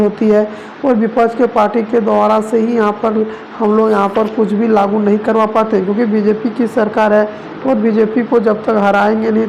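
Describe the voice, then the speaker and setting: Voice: medium pitch, creaky, darkish tone, low-quality recording. A news presenter narrating regional reports in wordy, repetitive phrasing. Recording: noticeable background noise.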